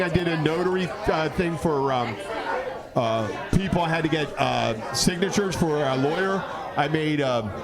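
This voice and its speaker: A man chatting casually as a podcast host, telling stories in an animated, rambling way. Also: on the high side. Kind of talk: casual bar-side chat. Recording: loud crowd noise, outdoor urban setting. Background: loud crowd and outdoor bustle.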